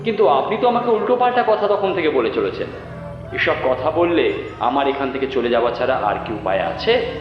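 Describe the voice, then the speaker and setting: A man's voice: mid-range voice, sing-song, a little fast, close to an everyday voice. A man playing a hesitant, insulted writer in a horror audio drama, protesting defensively. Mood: offended. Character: insulted, hesitant male writer growing indignant. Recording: heavily reverberant dull hall sound, music behind.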